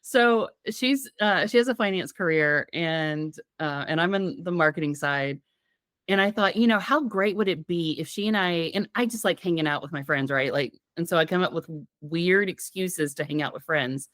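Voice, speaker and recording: Podcast co-host: woman, lowish slightly raspy voice, giggly and rambling, dry close sound. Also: normal speed, a bit bright, dry recording. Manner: giggly, rambling, playful storytelling. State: getting over a sinus infection. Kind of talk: casual comedic podcast chat.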